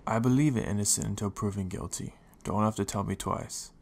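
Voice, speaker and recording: A gravelly, fairly low-voiced Pokémon leader, played by a man, speaks with fair-minded authority in an audio drama. He is reassuring and firm, with creaky edges to the voice. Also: a little fast. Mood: businesslike. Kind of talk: fan audio drama acting.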